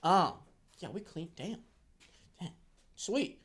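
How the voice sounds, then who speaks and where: A man's voice: high-pitched, animated, slow pace, fairly bright tone, slightly rough. A man in his early twenties giving a casual, off-the-cuff tour of a workshop, rambling in a jokey, self-deprecating way with playful exaggeration. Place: indoor workshop.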